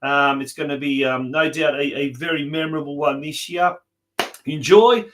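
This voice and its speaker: Australian male sports show host, slightly high voice, halting and repetitive live delivery. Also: a bit bright.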